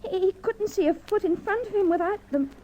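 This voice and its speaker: A frantic worried wife. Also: female voice, very high-pitched, fairly quick, dark tone. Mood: distraught. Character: anxious grieving wife of missing editor. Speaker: wife in radio crime drama. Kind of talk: 1950s radio drama acting. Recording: dull old radio recording.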